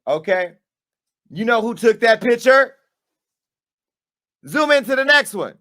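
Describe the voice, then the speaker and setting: A man hosting his own show, delivering a dramatic callout monologue with sass, swinging between hushed asides and loud, punchy bursts. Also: extremely high pitch, big pitch swings, measured pace. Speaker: media company owner and host.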